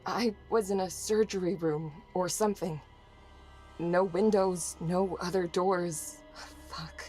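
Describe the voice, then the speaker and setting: A woman's voice: on the low side, fairly even intonation, takes it slowly, a little mellow, soft background music. A woman playing a frightened but defiant trapped woman in a horror audio drama, raw and sweary. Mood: frightened narration. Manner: raw, sweary, swinging between shaky narration and defiance. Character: trapped, frightened yet defiant woman facing her tormentor.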